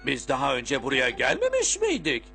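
A man voicing a bold, excitable little male bug adventurer in a children's cartoon, eager and bossy. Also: medium pitch, moderate pace, vocal fry, exaggerated voice. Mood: excited. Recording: music behind the voice.

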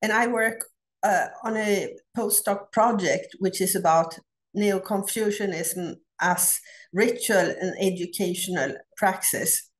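A middle-aged woman from Sweden with a Swedish accent, giving a prepared academic conference talk over a video call, measured and deliberate with frequent pauses. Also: medium pitch. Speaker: academic researcher presenting a paper. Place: home office. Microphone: laptop webcam on a video call.

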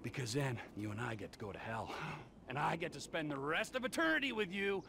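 A mocking crazy middle aged criminal, high raspy creak, tense, volatile, game cutscene. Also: male voice, big pitch swings, sharp tone, a little hiss or hum, heavily acted. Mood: gleeful menace. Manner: taunting, drawn-out, volatile. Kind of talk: cinematic game acting.

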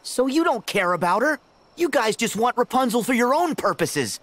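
A man's voice: very high-pitched, big pitch swings, normal speed, a bit bright, exaggerated voice. An actor playing an earnest, indignant teenage boy hero in a video game, talking in an urgent, bold, plain way. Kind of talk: scripted video game cutscene acting.

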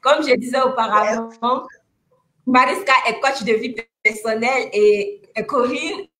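A young woman hosts a live online talk show from home over a video call. Her voice sits high and sharp. She is exuberant and hypes her guests, laughing often, and the webcam audio carries some room sound. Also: unhurried, roomy sound. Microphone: webcam mic on video call.

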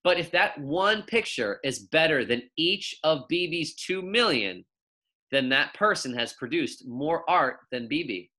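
A young man voicing a brisk, firm woman schoolteacher in a storybook, announcing and questioning. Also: on the high side, big pitch swings, sharp tone, heavily acted.

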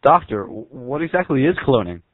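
A man playing a naive, excitable patient who asks puzzled questions melodramatically in a comedy skit, tinny recording. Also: medium pitch, brisk, dry recording. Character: naive, excitable male patient, easily amazed and confused. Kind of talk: amateur comedy skit acting. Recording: tinny, band-limited, dry sound.

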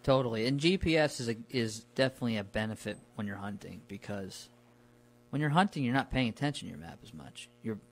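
A man's voice: mid-range voice, lively intonation, a little fast. Informal, colloquial man chatting. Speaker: podcast guest recounting his camping trips. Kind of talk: casual conversational storytelling.

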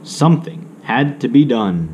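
A man reading a children's story aloud with measured, theatrical expression. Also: medium pitch, expressive, darkish tone, slight background noise.

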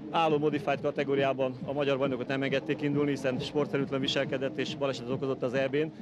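A man speaking in a flat, matter-of-fact way in an outdoor interview at a race. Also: medium pitch, background noise. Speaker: sports official interviewee.

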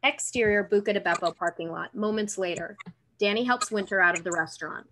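A young woman narrates the action of a script. Her voice is bright and forward, at a middle pitch, as she reads stage directions over a video call. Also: brisk, slight background noise, poor audio. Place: room at home.

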